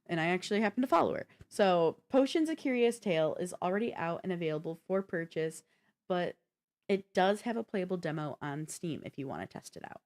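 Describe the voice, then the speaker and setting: A woman with a slightly low voice talks as co-host of a gaming podcast. Her delivery is informal and conversational, laying things out in an explanatory way for listeners. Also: animated, fairly quick. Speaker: co-host of a video game podcast. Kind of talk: casual gaming talk show chat.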